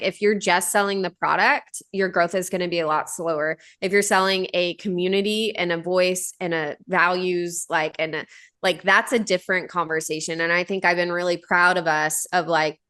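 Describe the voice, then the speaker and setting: A woman's voice: fairly low pitch, fairly even intonation, normal speed, bright, forceful tone. A woman in her thirties, a company founder answering interview questions in an earnest, conversational way, with many fillers and restarts. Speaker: podcast guest, company founder.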